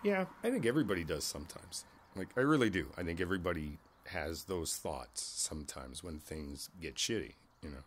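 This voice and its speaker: Man playing a deep-voiced older neighbor, thoughtful. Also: very animated, a little hiss or hum. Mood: somber, candid. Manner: halting, thoughtful, conversational, repeats phrases. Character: kindly older male neighbor, reflective and candid. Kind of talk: ASMR roleplay, one side of a conversation.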